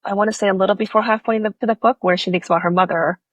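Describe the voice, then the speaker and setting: A woman's voice: medium pitch, fairly even intonation, racing, dry recording, muffled recording. A woman author who grew up in Singapore, with a faint accent, chatting animatedly as an interview guest and acting out her own reactions. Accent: Singaporean-tinged American. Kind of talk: conversational interview.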